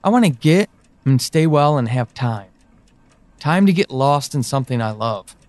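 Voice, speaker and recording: A man playing an anxious, pleading character in an audio drama monologue, speaking earnestly and haltingly. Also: mid-range voice, lively intonation, darkish tone, no room sound, natural voice. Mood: hurt, hesitant. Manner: halting, earnest, with pauses and emphatic swings. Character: anxious, pleading man reaching out to a lost loved one.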